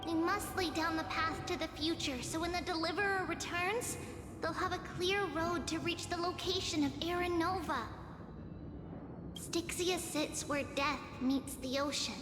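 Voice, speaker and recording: A woman's voice: very high-pitched, steady tone, a little slow. A woman voicing a small, childlike girl demigod in an anime game, earnest and resolute. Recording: reverberant game audio under music.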